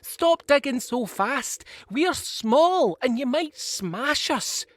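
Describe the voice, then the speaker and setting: Man doing a small, piping, high-pitched worm. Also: expressive, slow, dry recording, heavily acted. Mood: urgent. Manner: piping, urgent. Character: small eager worm, piping and wary of moles.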